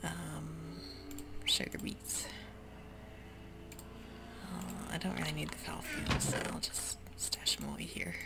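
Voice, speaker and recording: A young woman whispering casual ASMR commentary while she plays a video game, talking in a soft, rambling way. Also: low voice, big pitch swings, takes it slowly, long pauses. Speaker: ASMR gaming channel host. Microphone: desk mic while gaming. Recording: rough home recording with audible hiss.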